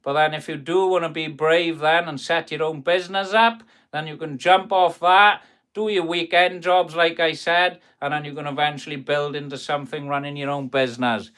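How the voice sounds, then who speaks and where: South welsh bloke in his workshop, chatty and rambly, fairly high bright voice. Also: fairly even intonation, normal speed, smooth. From South Wales. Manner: friendly, rambling, calls viewers "boys" and "buddy". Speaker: carpenter streaming live. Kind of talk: chatty live stream, answering viewers' chat.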